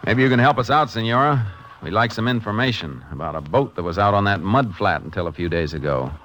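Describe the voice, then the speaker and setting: An actor playing a shrewd, steady Texas Ranger in a 1950s radio drama, clipped, plain-spoken and probing. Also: male voice, on the low side, fast talker. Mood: probing, stern. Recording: muffled, faintly noisy 1950s radio broadcast.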